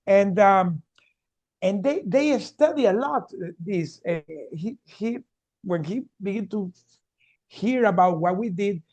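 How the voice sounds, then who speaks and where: A man's voice: high-pitched, lively intonation, slow, a little mellow. A man from Venezuela, telling his story earnestly as a keynote speaker and often restarting his words. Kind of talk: storytelling talk on a video call.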